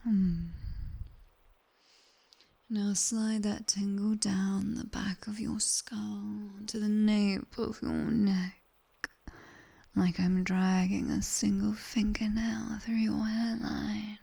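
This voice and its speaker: A British woman voicing a sharp-tongued, teasing demon tutor in a whispered ASMR roleplay, guiding the listener slowly, close to the microphone. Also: medium pitch, flat delivery, heavily acted. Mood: coaxing, firm. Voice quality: breathy, mostly whispered. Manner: slow, dry, teasing instruction. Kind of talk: ASMR roleplay, guided body scan. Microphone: close binaural microphone.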